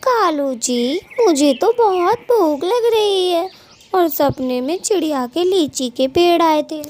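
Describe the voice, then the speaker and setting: Quarrelsome female cartoon bird played by a woman, very high voice, greedy and scolding, slight background noise. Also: lively intonation, slow, a little mellow, heavily acted. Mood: wheedling, scheming. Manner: scolding, taunting, colloquial bickering. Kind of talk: Hindi cartoon story dialogue.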